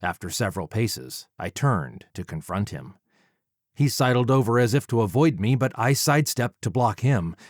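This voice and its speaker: A man narrating a first-person story as an audiobook reader, dramatic, measured and deliberate, close to the microphone. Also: mid-range voice, expressive, no room sound.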